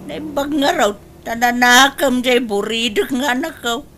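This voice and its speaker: A woman's voice: fairly high pitch, measured pace, noisy background, close to an everyday voice. A woman playing a nagging, disapproving mother in a radio drama, with an Ilocano accent and grumbling, sighing interjections.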